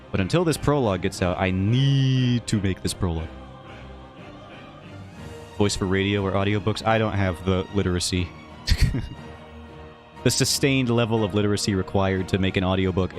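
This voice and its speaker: A man streaming while he draws his webcomic, who rambles in a casual, self-mocking and jokey way. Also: low voice. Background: faint background music.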